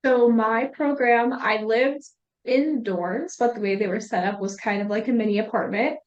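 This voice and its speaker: A young woman student speaking on a study abroad panel in a relaxed, conversational way. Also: on the high side, moderate pace, muffled recording. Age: young adult. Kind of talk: panel discussion.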